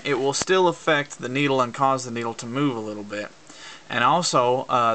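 Guy doing a homemade step by step tutorial, really bright edgy voice, bit of background noise. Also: medium pitch, poor audio. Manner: plain-spoken, explains step by step. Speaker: amateur instructor demonstrating CB radio use. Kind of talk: informal hands-on tutorial. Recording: faint background noise, clicks, amateur camera.

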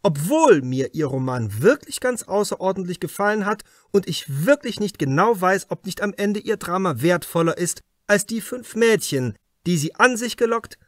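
A man's voice: high voice, very animated, moderate pace, no echo, pitched above the performer's own voice. A male reader plays a shrewd detective from a crime audiobook. He speaks formally and insistently, in a raised, emphatic voice, recorded dry and close. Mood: accusing, forceful. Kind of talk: audiobook character dialogue.

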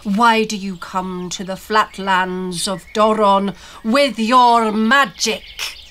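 A woman acts out a huge storybook troll king, suspicious and hostile. He booms and growls each word very slowly, spitting his accusations. Faint noise and birdsong sit behind the voice. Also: fairly even intonation, a bit bright. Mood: menacing. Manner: booming, growling, spitting delivery. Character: huge sharp-toothed male troll ruler, hostile and suspicious. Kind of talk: storybook character voice.

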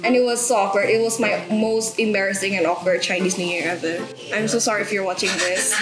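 A woman's voice: medium pitch, fast. A young woman from Indonesia, whose first language is Indonesian, chatting on a panel and telling stories with lots of giggles. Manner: giggly, animated storytelling. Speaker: panel guest. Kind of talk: casual panel chat. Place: studio set with a long table. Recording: roomy sound with background music. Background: music.

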